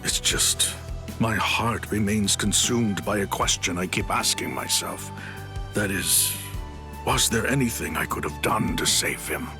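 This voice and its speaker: A low, heavy male voice carries an honorable warrior captain from an anime game. He is solemn and sorrowful, loyal to the core, and speaks with formal earnestness. Music plays clearly behind him. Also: measured pace. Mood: conflicted, sorrowful. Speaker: video game voice actor. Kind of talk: scripted anime game cutscene dialogue.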